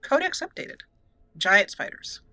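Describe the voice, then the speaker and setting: A woman's voice: medium pitch, wildly swooping pitch, unhurried, sharp tone, no room sound. A woman giving casual, chatty let's play commentary as a gaming host, playfully exclaiming and talking to the characters on screen.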